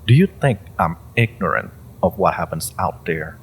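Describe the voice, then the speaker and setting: A man playing a sinister, inhuman mind-reading interrogator in an audio roleplay, theatrical, mocking and menacing, with a gravelly voice and drawn-out phrasing. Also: low-pitched, expressive, slight background noise. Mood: mocking, probing. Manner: theatrical, taunting, drawn-out phrasing with pauses and whispers. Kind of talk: dramatic audio roleplay monologue to the listener.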